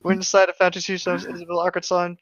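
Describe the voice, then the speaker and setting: A man's voice: high-pitched, monotone, rapid-fire, a bit bright, no echo, highs cut off. A man reading a story aloud and bantering in a sarcastic, joking way. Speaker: co-host of a creepypasta reading show.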